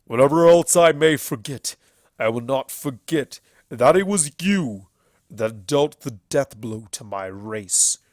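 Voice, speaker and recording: A man's voice: medium pitch, animated, slow pace, no room sound. A bitter and ancient stallion from a fantasy storybook, performed by a man. He declaims his accusations with heavy scorn, stretching key words for emphasis. The sound is close and dry. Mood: scornful fury.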